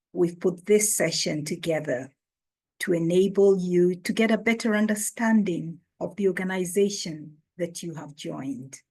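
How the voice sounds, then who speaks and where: A woman hosting an online orientation, speaking slowly and deliberately as she welcomes new members. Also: fairly low pitch, a little mellow, husky. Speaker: orientation host. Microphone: video call.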